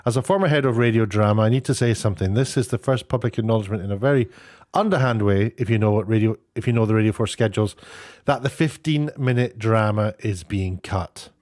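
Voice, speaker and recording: A man giving casual, off-the-cuff commentary in a halting, rambling way, often breaking off to rephrase. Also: on the low side, a little mellow, no room sound, studio quality. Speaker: online commentator, former broadcast worker.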